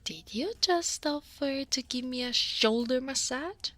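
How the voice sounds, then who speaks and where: Woman playing a breathy, weary dragon coworker. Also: sits high, a little slow, no echo. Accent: Italian. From Italy. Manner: soft, tired, half-whispered. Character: weary, guarded female dragon office coworker. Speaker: ASMR roleplay performer. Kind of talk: whispered ASMR roleplay.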